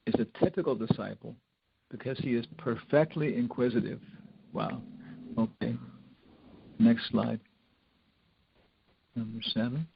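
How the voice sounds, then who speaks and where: Older man, gravelly informal spiritual lecturer. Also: mid-range voice, dry recording. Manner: rambling, conversational, with wry humour. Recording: dull lo-fi lecture recording.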